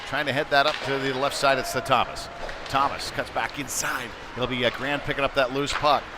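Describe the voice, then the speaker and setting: A man's voice: medium pitch, level tone, a little fast. A man giving live ice hockey play-by-play in a conversational, fragmented way, following the action phrase by phrase. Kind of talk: live sports commentary. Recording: noisy live rink broadcast.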